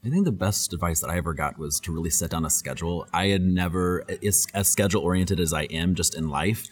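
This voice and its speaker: A man's voice: low voice, fast, breathy edge. A young adult man, a guest on a podcast, answering questions thoughtfully and often repeating words as he thinks. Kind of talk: casual interview answers.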